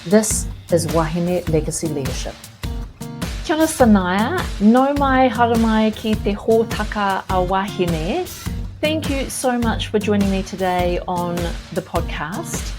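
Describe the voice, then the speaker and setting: A woman's voice: mid-range voice, lively intonation, a little slow, over music. A woman with a New Zealand accent hosting a podcast and introducing her guest in a conversational way. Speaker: podcast host.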